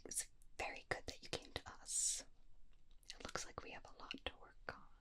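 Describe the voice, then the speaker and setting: A woman playing a gentle, attentive werewolf doctor in an ASMR roleplay, whispering breathily and reassuringly into a close binaural mic. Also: moderate pace, natural voice. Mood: gentle, clinical. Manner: soothing, hesitant, softly reassuring. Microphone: close binaural microphone.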